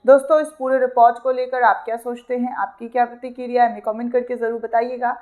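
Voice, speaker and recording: A woman's voice: on the high side, level tone, fast, darkish tone. A woman presenting opinionated news commentary in an emphatic manner, repeating phrases and posing rhetorical questions. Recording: dull, lo-fi sound.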